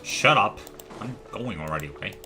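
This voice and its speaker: A man's voice: lowish voice, lively intonation, moderate pace. A man livestreaming a video game with casual commentary, often talking to himself as he plays. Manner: muttering reactions, talks to himself. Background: faint music.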